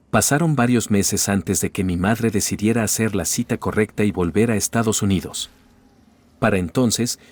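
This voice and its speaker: A man narrating first-person stories in a steady, even reading voice. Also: on the low side. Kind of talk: scripted narration.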